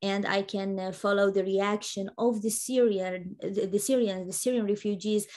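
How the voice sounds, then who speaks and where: A woman speaks as a conference panelist, analysing policy with a halting, searching delivery. Her first language is Arabic. Her pitch is mid-range and stays very level. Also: steady tone, a little mellow.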